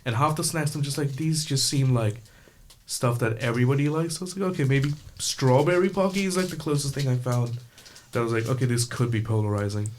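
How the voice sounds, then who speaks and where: A man's voice: medium pitch, fairly quick, a little mellow. Young aussie guy chatting on student radio, rambly and easygoing, lots of ums, faint hiss behind him. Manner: rambling, laid-back, hedging with fillers. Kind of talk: casual group chat.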